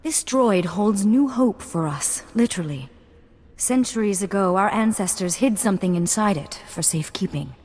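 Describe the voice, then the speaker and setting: A woman's voice: mid-range voice, lively intonation, normal speed, darkish tone, lightly acted. An actress playing a proper young noblewoman in a video game, speaking in a formal, refined manner. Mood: earnest. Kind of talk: video game cutscene acting.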